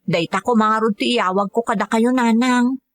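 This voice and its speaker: A woman's voice: a little high, moderate pace, dry recording, studio quality, lightly acted. A woman with an Ilocano accent playing a devoted, grateful daughter working abroad as a caregiver in a radio drama, speaking earnestly and respectfully. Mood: respectful, reassuring.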